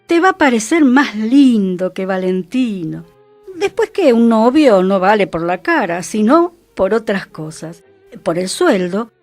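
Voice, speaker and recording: A woman with an Argentine accent from Buenos Aires reads a humorous monologue on radio. Her voice is fairly high and very animated, with theatrical emphasis and stretched phrases. She is recorded dry and close, with music behind her. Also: dry recording. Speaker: radio reader. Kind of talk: dramatised literary reading of a humorous monologue. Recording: dry studio voice over music.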